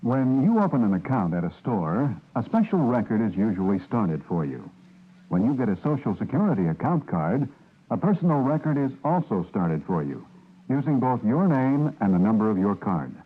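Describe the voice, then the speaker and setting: Husky male ad announcer, lively intonation, tinny old radio. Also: fairly low pitch, moderate pace. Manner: informative, steady. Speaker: advertisement announcer. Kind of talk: scripted public service announcement.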